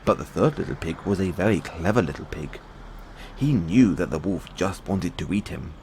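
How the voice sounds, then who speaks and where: A man narrating a bedtime fairy tale as a storyteller, in a simple, measured read-aloud delivery. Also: deep voice, lively intonation, darkish tone, a little hiss or hum.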